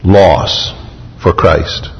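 A man's voice: deep voice, steady tone, very slow, muffled recording. A man preaching a sermon, emphatic, retelling scripture in a vivid first person. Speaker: pastor. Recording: long hall reverb.

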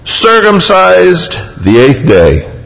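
A man's voice: high voice, huge pitch swings, slow pace, gravelly, fairly bright tone. A man preaching a sermon, emphatic, retelling scripture in a vivid first person. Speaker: pastor. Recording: long hall reverb.

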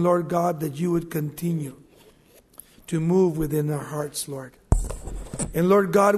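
A man's voice: on the high side, darkish tone. A male pastor preaching an evening sermon in a church, asking the congregation questions and building emphasis. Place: church sanctuary. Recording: long hall reverb.

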